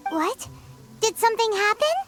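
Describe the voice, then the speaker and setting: A woman voicing a tiny, excitable, childlike fairy companion in an anime-style game, squeaky and chirpy. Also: normal speed, over music. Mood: surprised. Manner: speaks of self in third person.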